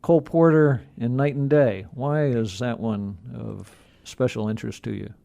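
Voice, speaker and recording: A man hosting a radio interview, speaking conversationally in a measured, courteous way as he puts questions to his guest. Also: mid-range voice, steady tone, creaky, warm tone.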